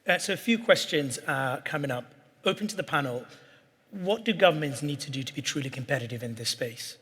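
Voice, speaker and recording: Husky-voiced man moderating a panel. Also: a little high, animated, fairly bright tone, long reverb tail. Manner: brisk, questioning.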